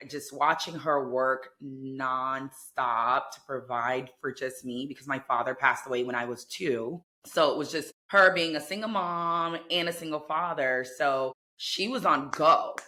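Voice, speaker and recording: A young woman talks about her life as a podcast guest. Her voice is bright and forceful, and she speaks enthusiastically, rushing through some phrases. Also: a bass voice. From United States. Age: young adult. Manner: warm, enthusiastic, quick bursts of speech. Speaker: guest, real estate entrepreneur. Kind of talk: casual interview chat.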